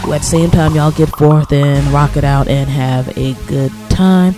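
An American man hosting a radio show, talking casually with informal slang and shout-outs. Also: fairly high pitch, fairly even intonation, measured pace. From United States. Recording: loud music under the voice. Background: music.

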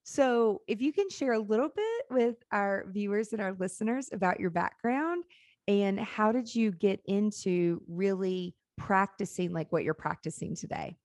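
Upbeat folksy woman host with a warm, mellow voice and a dry close sound. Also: mid-range voice, expressive, a little slow, no echo. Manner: upbeat, folksy, says "y'all". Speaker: podcast host. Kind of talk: casual interview chat.

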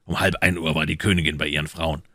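A man as a very deep-voiced, loyal gentleman informant. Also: flat delivery, quick pace, dry recording, studio quality, heavily acted. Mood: measured, reporting. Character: loyal, assured gentleman informant reporting to his master. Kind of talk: audiobook character dialogue.

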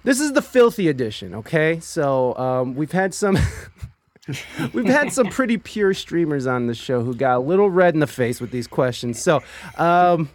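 A man hosting a casual streamed talk show. His voice sits a little high and swings up and down a lot. He is chatty, laughs easily and restarts his words, and the sound is close and dry with no room echo. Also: very animated, normal speed. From Ohio. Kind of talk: casual chat.

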